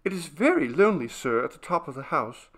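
A meek young servant girl from a storybook, voiced by a man. The pitch is lifted and moves about a lot, and the manner is faltering and pleading, full of earnest deference. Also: big pitch swings, a little fast. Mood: anxious, confiding.

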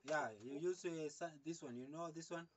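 A middle-aged man plays an earnest worker, repeating words in simple, broken English. His voice sits at an ordinary pitch. He was recorded on a camera mic in an open yard, with faint noise behind him. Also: steady tone, moderate pace. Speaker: employee in a comedy sketch. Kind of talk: improvised comic dialogue. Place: outdoor construction yard.

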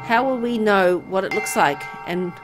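A woman's voice: mid-range voice, moderate pace, music in the background, natural voice, low-quality recording. Woman putting on a surly, sarcastic boy. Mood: sarcastic, impatient. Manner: blunt, scornful. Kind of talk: visual novel dialogue read aloud.

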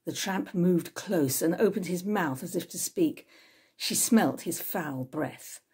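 Female narrator, 60s, low-pitched, slightly husky, expressive storybook reading. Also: slow. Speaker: author reading from own novel. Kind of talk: scripted narration, audiobook-style reading. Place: small room at home with bookshelves, indoors.

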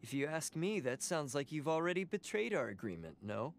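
Cool, highish-voiced young turncoat. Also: male voice, sing-song, a little fast, slight background noise. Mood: coolly sardonic. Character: cool defiant young male double agent. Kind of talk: video game voice acting.

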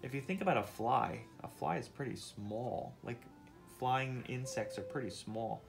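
Dad reading a kids nature book out loud, slow and patient, middling pitch, music behind. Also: male voice, gravelly, low-quality recording. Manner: patient, explanatory. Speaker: father reading aloud to his child. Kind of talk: interactive read-aloud of a nonfiction picture book. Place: indoors on a couch at home.

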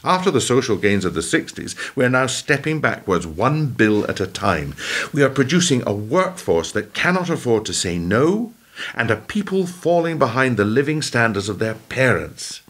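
A man acting as a defiant, principled ex-prime minister in a radio drama. His voice is husky and medium-pitched, and it swings widely as he makes his earnest, emphatic speeches. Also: very animated, normal speed, fairly bright tone. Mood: earnest, grave.

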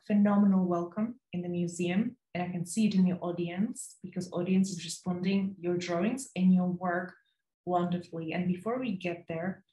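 A woman in her thirties hosting a video call interview, speaking in a warm, gracious way with short affirmations. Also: fairly low pitch. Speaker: interviewer host. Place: home room with bookshelves. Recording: roomy video call audio.

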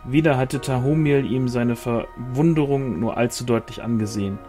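A man's voice: medium pitch, normal speed, mellow, soft background music. A man reading a novel aloud as scripted narration. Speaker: audiobook reader.

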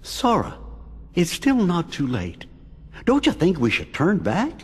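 An actor voicing a gentle, concerned cartoon animal companion with a raspy voice in a video game. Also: male voice, high-pitched, huge pitch swings, unhurried, heavily acted. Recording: long hall reverb.

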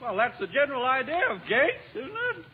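Very high-pitched officious gatekeeper. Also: male voice, wildly swooping pitch, fairly quick, a little hiss or hum, heavily acted. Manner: officious, wry. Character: officious, quippy male city gatekeeper. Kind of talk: old-time radio drama acting. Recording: muffled vintage radio recording, music behind.

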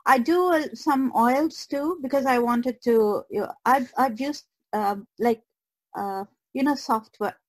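A woman guest artist in casual conversation, with halting, hesitant phrasing. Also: high voice.

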